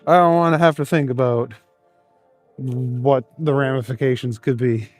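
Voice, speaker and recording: A man chats through a live stream from cold city streets, answering his viewers as he goes. His voice sits at an ordinary middle pitch, and he chuckles and rambles easily between comments. Faint music plays behind him. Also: fairly even intonation, moderate pace, a little mellow. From Canada. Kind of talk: casual live stream, reading chat aloud.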